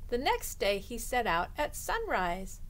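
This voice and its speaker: High-voiced woman reading a children's storybook. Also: huge pitch swings, a bit bright. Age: middle-aged. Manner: deliberate, drawing out phrase endings for young listeners. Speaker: storytime host reading a picture book to children. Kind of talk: expressive children's storybook read-aloud. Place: small indoor room lined with bookshelves.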